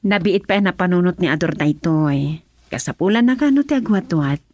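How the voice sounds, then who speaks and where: A woman's voice: fairly low pitch, expressive, moderate pace, a little mellow, dry recording. An actress playing a self-assured lady of the house in a radio drama, with a Filipino accent. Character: lady of a wealthy house addressing her helper.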